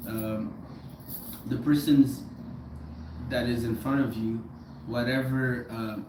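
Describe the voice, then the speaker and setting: A woman's voice: a bass voice, steady tone, takes it slowly. A woman in a group discussion, speaking thoughtfully and hesitantly with many fillers. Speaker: guest participant. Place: indoor group gathering. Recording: echoey room, far from the mic, audible noise.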